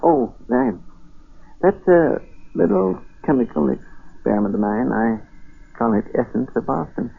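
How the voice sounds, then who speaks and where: A man playing a sly, wry colonial silversmith with a husky, gravelly voice and a musing, cryptic manner in a 1940s radio drama. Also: medium pitch, very animated, unhurried, heavily acted. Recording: muffled 1940s radio recording.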